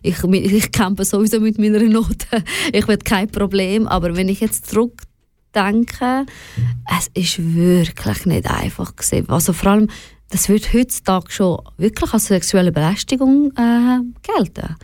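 A woman's voice: mid-range voice, unhurried, darkish tone, dry recording, studio quality. A young woman with a Swiss German accent talking candidly and reflectively as an interview guest, dropping in English words. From Switzerland, of Afghan family. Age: young adult. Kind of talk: personal, reflective conversation.

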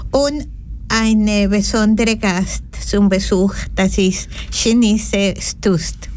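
A woman's voice: mid-range voice, fairly even intonation, extremely slow, darkish tone, a little hiss or hum. A woman hosting a community radio interview from a studio microphone, hesitant and full of small restarts. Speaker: radio host.

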